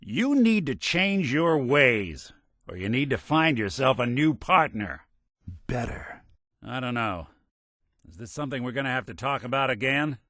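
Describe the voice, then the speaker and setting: A man's voice: on the low side, a bit bright, no room sound, clean studio sound, heavily acted. A man voicing a weary, principled old robot detective in a video game, speaking in a wry, folksy, hard-boiled way. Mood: angry, disappointed. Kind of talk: video game character dialogue.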